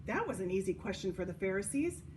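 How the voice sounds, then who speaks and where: A woman hosting a children's story time at a church, reading and explaining in a deliberate way for young listeners. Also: mid-range voice, sing-song. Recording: distant microphone, noticeable background noise.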